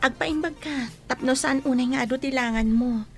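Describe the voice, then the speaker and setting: A woman's voice: sits high, normal speed, faint music behind. An actress playing a caring, encouraging young female friend in a radio drama, with an Ilocano accent. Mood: tender teasing. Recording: noticeable background noise.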